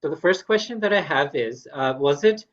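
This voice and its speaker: Polite podcast host, slightly dull sound. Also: male voice, on the high side, animated, moderate pace. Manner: polite and formal, with frequent fillers. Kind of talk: podcast interview hosting.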